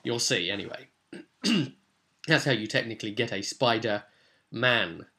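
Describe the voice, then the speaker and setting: Bright-voiced chatty man recapping a game. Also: medium pitch, animated, a little slow. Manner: chatty, enthusiastic, informal slangy asides. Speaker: game master recapping his tabletop role-play session. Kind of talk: casual vlog recap, informal rambling.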